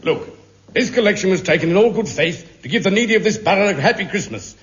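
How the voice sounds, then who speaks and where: An actor with a British accent playing an unflappable, bureaucratic police sergeant in a 1950s radio comedy. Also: male voice, high-pitched, animated, fast talker, fairly bright tone. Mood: officious. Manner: dry, officious, politely unhelpful. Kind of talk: 1950s radio sitcom acting. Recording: muffled hissy 1950s radio broadcast.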